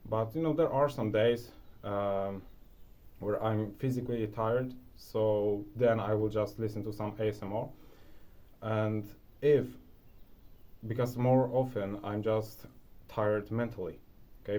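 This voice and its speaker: A man's voice: on the low side, dark tone. A young man with a Polish accent, casually sharing advice as a video creator, rambling with lots of restarts and fillers. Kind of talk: casual talking-head advice.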